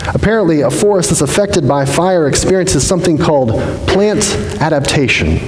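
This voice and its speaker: A man in his forties, a pastor giving a sermon from a pulpit in a church sanctuary, speaking earnestly and slowing down for emphasis. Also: a little high, lively intonation. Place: large church sanctuary, indoors. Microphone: headset mic at a pulpit. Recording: echoey hall reverb, faint hiss.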